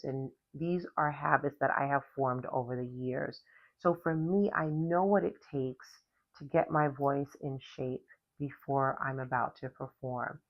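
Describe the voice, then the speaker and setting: A singer hosts a casual lesson on looking after the voice, stressing key words and spelling out technical terms. The voice sits in a middle register. The recording is slightly dull and lo-fi. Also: mid-range voice. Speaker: podcast host and singer. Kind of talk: casual instructional talk on vocal care.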